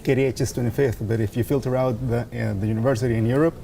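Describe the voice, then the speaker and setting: Brief: twenties male student from Venezuela, quick and earnest, mellow tone. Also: mid-range voice, steady tone, gravelly, a little hiss or hum. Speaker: student ambassador. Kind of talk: panel chat. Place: large library hall indoors.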